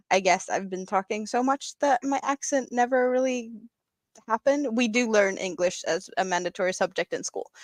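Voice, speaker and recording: A relaxed woman from Sweden joins a live stream as a guest and chats easily in fluent English. Her voice is somewhat high. The recording is dry, with no room echo. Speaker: guest crocheter. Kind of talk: casual live-stream chat.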